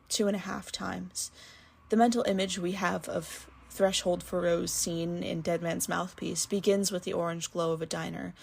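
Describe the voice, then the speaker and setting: A woman reads a prepared commentary in a husky voice with a good deal of fry. She sounds earnest and measured, setting out her analysis in careful, articulate phrases. Her pitch sits a little low. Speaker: listener sending a recorded commentary. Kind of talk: prepared spoken essay, literary analysis.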